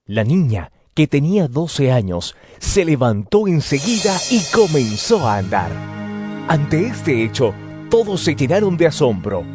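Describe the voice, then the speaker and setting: A man narrating scripture as a dramatized story, with expressive storytelling delivery. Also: on the high side, a little slow. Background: music.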